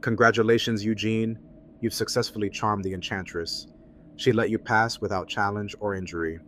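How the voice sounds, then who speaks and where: Guy acting a chill friendly dungeon master, low voice, slangy, bit of noise on the audio drama. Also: steady tone, normal speed, fairly bright tone, natural voice. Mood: game-master narrating. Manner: casual, slangy. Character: friendly, loyal, laid-back male game master.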